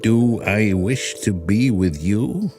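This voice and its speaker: A man's voice: fairly low pitch, expressive, takes it slowly, a little mellow, faint music behind, pitched above the performer's own voice. A man voicing a carefree, mischievous male monkey who made the world, talking in a playful, cocky way.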